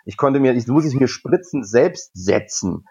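A man's voice: medium pitch, expressive, normal speed, gravelly, dark tone, slightly rough, highs cut off. A man from Hesse with a light Hessian accent, chatting casually and jokingly in a podcast conversation. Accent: Hessian German. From Germany, Hesse. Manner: joking, rambling, with fillers.

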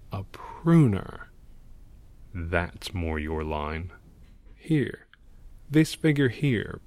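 A gloomy old painter, played by a male actor in an audio drama. He speaks very slowly in a lowish voice, close and dry, with a sardonic, world-weary cynicism. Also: a little mellow, no room sound. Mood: wry, needling. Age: older.

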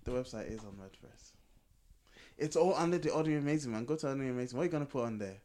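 A man's voice: medium pitch, animated, fast, mellow. A man co-hosting a podcast, chatting casually as he explains things. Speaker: podcast co-host.

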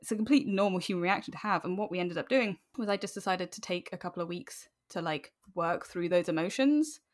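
A woman's voice: on the low side, animated, brisk. A woman speaking candidly and informally as an interview guest, animatedly telling personal stories. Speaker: podcast guest.

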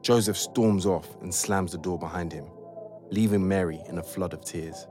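A man's voice: low-pitched, moderate pace, warm tone, soft background music, close to an everyday voice. A man performing as the composed narrator of an audio drama, telling the story from a script.

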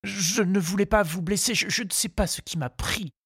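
Audiobook suitor, played by a male narrator: young, shy, earnest, high-pitched, husky, halting and deferential. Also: fairly bright tone, no echo, studio quality, full character voice. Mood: flustered, ardent. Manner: hesitant, deferential, stammering.

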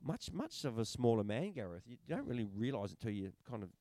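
A man's voice: medium pitch, sing-song, fairly quick, dark tone. A middle-aged man co-hosting a podcast, recapping fights in casual, enthusiastic chat. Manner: enthusiastic recaps, many restarts and fillers. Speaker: podcast co-host.

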